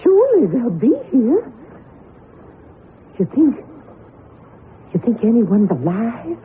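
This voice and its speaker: Through a thin, noisy old radio recording comes a high-voiced, middle-aged wife. She is emotional and breathless, repeating her words as she pleads, and her pitch swings widely in classic radio drama fashion. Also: wildly swooping pitch, full character voice. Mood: anxious, alarmed. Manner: breathless, emotional, halting repetitions. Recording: muffled lo-fi radio broadcast with noise.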